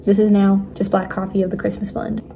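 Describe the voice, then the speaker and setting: A young woman chatting casually in her home kitchen at night, giggling and often dropping to a hushed voice, recorded on a phone camera on the counter. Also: medium pitch, little pitch movement, fairly quick, vocal fry, a little hiss or hum. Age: young adult. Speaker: vlogger. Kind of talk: casual cooking vlog chat.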